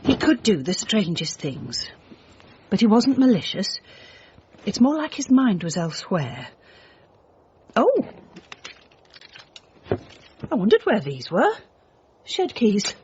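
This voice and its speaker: Gentle mum, wistful and resigned, very swooping pitch, slow, radio drama, dull sound. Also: female voice, huge pitch swings, a little hiss or hum.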